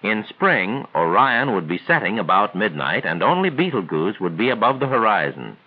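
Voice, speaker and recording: A man reads scripted 1940s training film narration in a measured, formal, instructional way. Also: fairly low pitch, very animated. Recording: tinny band-limited 1940s film soundtrack.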